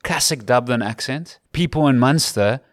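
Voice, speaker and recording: A man's voice: medium pitch, moderate pace, dry recording, studio quality. A man in his thirties with a Cape Town South African accent, telling his story earnestly as an interview guest, repeating words for emphasis. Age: 30s. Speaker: rugby commentator, podcast guest.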